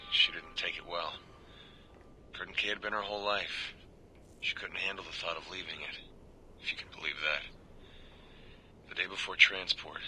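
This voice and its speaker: An actor playing a world-weary American prison guard, confiding and plain-spoken, in a video game audio log. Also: male voice, low-pitched, sharp tone, low-quality recording. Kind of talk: scripted audio-log monologue.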